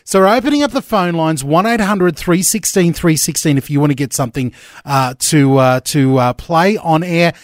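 An upbeat man hosting breakfast radio, his voice sitting a little high for a man. He chats loosely, with plenty of fillers, into a close, dry studio mic. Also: moderate pace, dry recording. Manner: chatty, upbeat, many fillers. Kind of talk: casual radio banter. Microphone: studio microphone.

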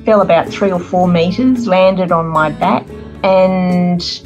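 A middle-aged Australian woman, a guest author telling her life story in an earnest, measured interview manner. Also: fairly low pitch, fairly even intonation, a little mellow, soft background music, low-quality recording.

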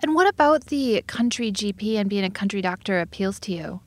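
A woman hosting an interview podcast, asking direct questions briskly. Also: medium pitch, expressive, dry recording.

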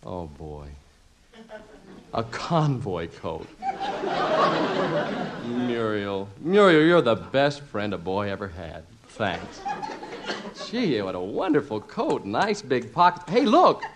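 An actor playing an excitable, sentimental husband and father in a 1950s radio comedy, stammering and emphatic. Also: on the low side, slow pace, long pauses, heavy background noise, full character voice. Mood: delighted, touched. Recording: vintage 1950s radio recording.

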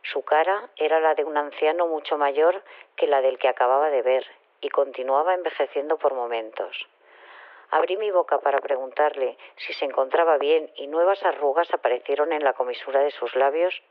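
A man's voice: a little high, moderate pace, lightly acted. An actor playing a solemn man who tells an eerie first-person story in an audio drama. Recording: narrow phone-like sound.

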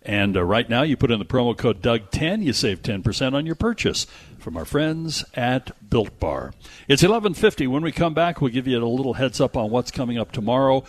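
A man's voice: mid-range voice, sing-song, a little fast. An American man hosting a radio movie show, chatting casually and enthusiastically, with some rambling and live ad reads.

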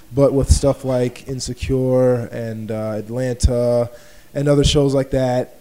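A man from Baltimore hosts a podcast recorded live in an art space. He sounds easygoing and unhurried, with a mellow, warm tone. His pitch sits in the middle of the male range. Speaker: podcast host.

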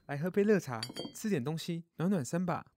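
A man voicing a kindly grown-up mole in a children's audio story, gentle, caring and reassuring. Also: fairly high pitch, animated, a little fast, noisy background, natural voice. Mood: hospitable.

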